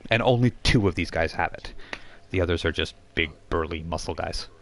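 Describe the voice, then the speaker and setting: A man's voice: sits low, brisk. A man speaking as game master, narrating a tabletop role-playing game in a casual, explanatory way.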